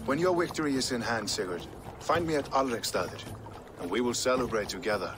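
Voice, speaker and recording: A man's voice: medium pitch, lively intonation, a little fast, background noise, natural voice. An actor playing an authoritative young Viking king in a game drama, regal as he gives orders. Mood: stately. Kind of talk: cinematic video game drama.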